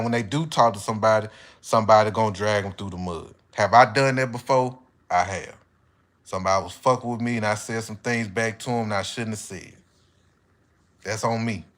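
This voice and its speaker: A man's voice: on the low side, normal speed, fairly bright tone. An American man giving a casual, opinionated monologue as an online commentator, speaking bluntly with heavy slang and swearing. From United States.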